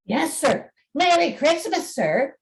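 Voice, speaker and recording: A woman's voice: high voice, very animated, slow pace, vocal fry, voice pushed up, exaggerated voice. A woman voicing a cheerful, polite young boy in an amateur radio play, eager and exclamatory. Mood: excited.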